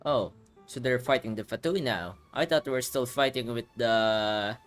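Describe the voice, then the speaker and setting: A man's voice: mid-range voice, normal speed. Casual male gamer thinking aloud over music. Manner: informal, rambling, thinks aloud. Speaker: gaming video host. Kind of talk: casual playthrough commentary.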